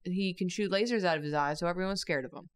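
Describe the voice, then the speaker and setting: A woman's voice: fairly low pitch, fast, clean tone. A woman joking casually with friends on a comedy drawing show. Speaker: show regular.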